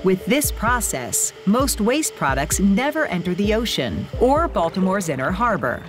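A woman reading scripted documentary narration in a lively, playful way. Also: medium pitch, measured pace. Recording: voice-over with music bed.

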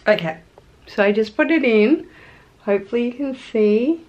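A woman's voice: a little high, expressive, normal speed, darkish tone, muffled recording. Chatty Australian woman vlogger. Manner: chatty, gushing. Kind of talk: casual vlog chat. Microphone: camera at arm's length or on table.

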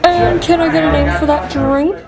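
A woman's voice: high-pitched, steady tone, normal speed, lightly acted. A woman voicing a polite, firm coffee shop barista. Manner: polite, firm, customer-service tone. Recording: loud music and crowd noise behind.

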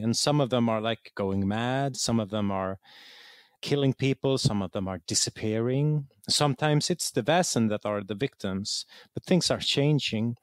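A man's voice: mid-range voice, no echo, studio quality. A man with a Swedish accent explaining things conversationally as an interview guest, repeating words as he searches for phrasing. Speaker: interview guest, game writer.

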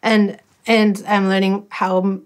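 A woman's voice: medium pitch, level tone, slow. Earnest woman interviewer, reflective. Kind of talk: conversational interview. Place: sunlit living room.

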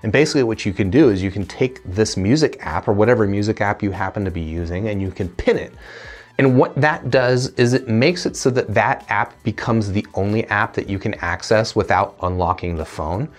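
A man's voice: fairly low pitch, brisk. A man in his thirties talking casually to camera as a gadget reviewer, explaining things conversationally into a lapel mic. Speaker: gadget reviewer, video host. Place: indoor studio room.

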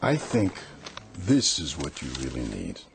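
An actor playing a mysterious, calm old peddler in a radio drama, speaking in gentle, cryptic phrases. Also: male voice, very deep voice, a little slow, gravelly, noisy background, full character voice. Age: older. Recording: radio play with music underneath.

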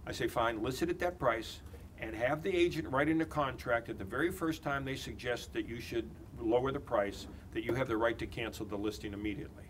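An older man in his sixties giving a confident real estate listing pitch in an office conference room. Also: fairly quick, slight background noise. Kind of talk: mock listing presentation.

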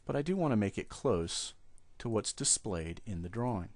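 A man explaining software steps one at a time in a screen-recorded tutorial, speaking at his computer. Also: lowish voice, normal speed, no room sound. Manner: steady step-by-step explaining. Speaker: software trainer.

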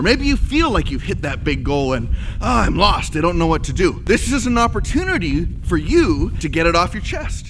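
Animated, higher-voiced man, earnest vlogger. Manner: earnest, encouraging. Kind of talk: motivational vlog talk to camera. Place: outdoors on a snowy open prairie and inside a car. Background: background music.

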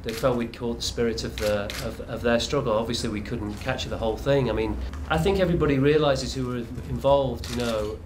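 Film director at a press event, mid-pitched voice, noticeable room noise. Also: male voice, brisk, hardly any pauses. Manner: heartfelt, reflective. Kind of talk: press conference interview. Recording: noisy room.

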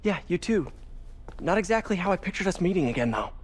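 A man's voice: high-pitched, very animated, quick pace. A wisecracking young superhero, full of chatty jokes as he fights. His delivery is casual and upbeat. Game noise and effects run behind him. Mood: focused. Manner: joking, chatty banter. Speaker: game protagonist. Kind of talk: video game cutscene and gameplay banter. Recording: noisy game mix with sound effects.

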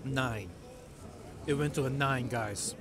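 A man's voice: medium pitch, slow. A middle-aged man chatting casually to camera as a food vlogger, laid-back and addressing viewers directly. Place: indoor sports bar restaurant. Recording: busy restaurant chatter.

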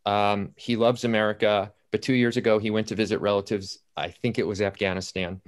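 A man's voice: on the low side, little pitch movement, brisk. A middle-aged or older man, a playwright, recounting his writing process anecdotally on a video-call panel, often quoting his own thoughts. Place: indoors at home, on a video call. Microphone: computer video-call audio.

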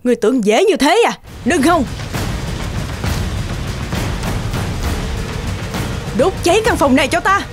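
A wicked fairy-tale queen, speaks in a fairly high, haughty voice. She is scheming and commanding, over clear cartoon music. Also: sing-song, fast talker. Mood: scornful, commanding. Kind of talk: animated fairy-tale dubbing.

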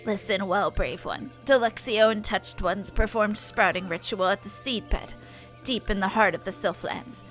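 Girl doing a solemn weary sprite elder in a fantasy game, bright tone, faint music. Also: mid-range voice, voice pushed down, close to an everyday voice, low-quality recording. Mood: stern, solemn. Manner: measured, formal third-person speech. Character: stern, weary sylph elder giving solemn orders.

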